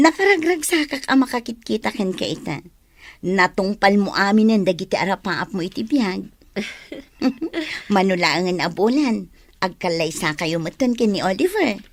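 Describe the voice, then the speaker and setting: A woman's voice: mid-range voice, big pitch swings, a little slow, no room sound. A woman playing a cheerful, reassuring young cousin in an Ilocano radio drama, with an Ilocano accent. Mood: happy.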